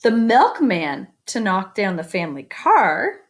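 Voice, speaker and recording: A woman reads a children's picture book aloud at an unhurried pace. Her pitch moves around in a lively, expressive way, and she speaks directly to listeners as a storyteller would, mixing narration with conversational comments. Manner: expressive, pauses to comment and invite predictions.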